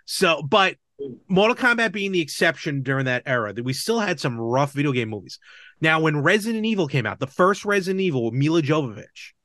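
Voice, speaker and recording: A man's voice: fairly high pitch, very animated, a little fast, pushed and bright, dry recording, clean studio sound. A man hosting a podcast, chatting casually about movies and games. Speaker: podcast host.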